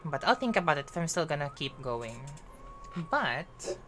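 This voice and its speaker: A woman's voice: sits low, very animated, moderate pace, fairly bright tone, slight background noise. A woman streaming a game live, chatting playfully to herself and her viewers. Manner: chatty, playful, code-switching, self-talking. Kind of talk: casual live gaming chatter. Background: game music.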